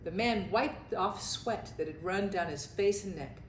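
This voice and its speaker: A woman reading a children's story aloud in an expressive, narrated style. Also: mid-range voice, unhurried. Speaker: storybook reader. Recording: echoey room with faint noise.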